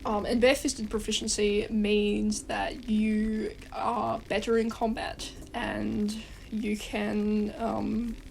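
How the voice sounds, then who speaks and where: Congested woman with a gravelly voice. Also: medium pitch, flat delivery, takes it slowly, hardly any pauses, darkish tone, slight background noise, rough recording. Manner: rambling, swears casually, often restarts sentences. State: congested from a cold. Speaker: gaming guide host. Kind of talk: casual rambling game walkthrough.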